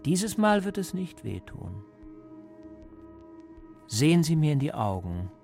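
An actor playing a coldly calm party interrogator in a radio drama, measured and didactic, paternal yet menacing, with a creaky voice. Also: male voice, fairly high pitch, faint music behind, exaggerated voice. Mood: calmly insistent.

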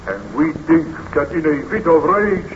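A man's voice: fairly high pitch, lively intonation, normal speed, lightly acted. A man playing a stern village burgomaster in a 1930s radio serial, blunt, officious and formal in manner. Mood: stern, suspicious. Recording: noisy, muffled vintage 1930s radio recording.

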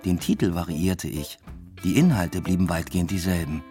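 Male lead played by an actor, deep and understated, ironic academic narrating a radio drama over music. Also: moderate pace, warm tone, lightly acted. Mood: dry, reflective. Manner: dry, understated, reflective. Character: weary, ironic male literature lecturer telling his story. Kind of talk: radio play first-person narration.

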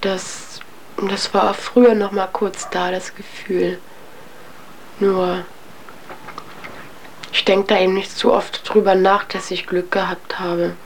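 A woman's voice: mid-range voice, flat delivery, slow, long pauses, slight background noise, poor audio. A young adult woman giving a candid personal account as an interviewee, speaking in a reflective, hesitant, colloquial way.

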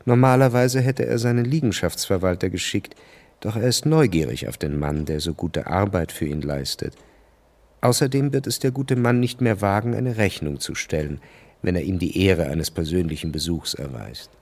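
A man narrating a scripted radio drama in a measured, formal manner. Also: sits low, a little mellow.

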